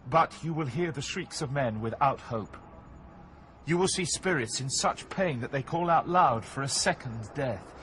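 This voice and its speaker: A man playing Virgil, a grave spirit guide, speaking with measured authority in an audio drama. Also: mid-range voice, animated, a bit bright, a little hiss or hum, close to an everyday voice. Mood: solemn, reassuring. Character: Virgil, solemn male spirit guide from Limbo.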